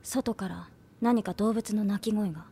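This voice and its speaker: A woman voicing a deadpan literal android maid. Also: mid-range voice, measured pace, background noise, natural voice. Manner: flat, formal, deadpan. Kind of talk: anime audio drama.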